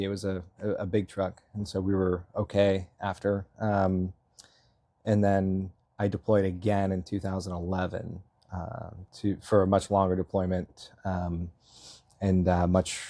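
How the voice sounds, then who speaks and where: A man's voice: low voice, flat delivery, moderate pace, dark tone, no echo, studio quality. A man in his thirties from Massachusetts, talking casually as an interview guest, rambling with fillers and frequent chuckles. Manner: rambling, self-deprecating, laughs often, many fillers. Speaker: selectboard candidate, guest.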